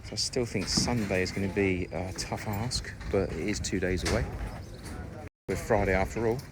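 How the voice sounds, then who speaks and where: A man's voice: deep voice, normal speed. A man giving a casual, step-by-step walk-through of his house build outdoors, talking with plenty of ums. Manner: chatty, explains step by step with many ums. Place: outdoor construction site. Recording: loud outdoor site noise.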